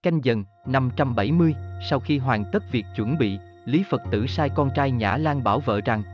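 Guy narrating old history, formal storytelling, slightly muffled track with soft music under. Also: mid-range voice, normal speed. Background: background music.

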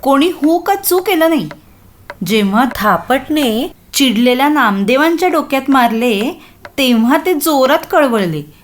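High-voiced woman storyteller for children. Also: a little slow. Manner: expressive, addresses children with questions. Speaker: storyteller, narrator. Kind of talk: scripted narration for children.

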